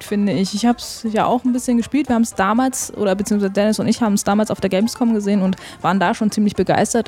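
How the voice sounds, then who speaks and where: A woman's voice: fairly high pitch, mellow. Woman host with a cold. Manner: chatty, many filler sounds, laughs easily. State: has a cold. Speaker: radio show host. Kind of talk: casual podcast chat about game music.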